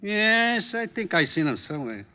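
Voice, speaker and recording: An actor playing a polite, fussy delicatessen storekeeper in an old radio drama, on a dull recording. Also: male voice, sits high, big pitch swings, moderate pace, sharp tone, clean tone, exaggerated voice. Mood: indignant, helpful. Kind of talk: 1950s radio character acting.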